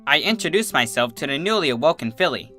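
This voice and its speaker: A woman reading fan fiction aloud as expressive scripted story narration. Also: low voice, quick pace. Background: soft guitar music.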